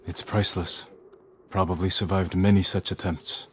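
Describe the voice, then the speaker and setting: Actor as a low-voiced, stoic samurai. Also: male voice, level tone, slight background noise, lightly acted. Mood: calm, reflective. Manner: measured, terse, firm. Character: stoic, honorable male samurai warrior, calmly resolute. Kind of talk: dramatic video game cutscene acting.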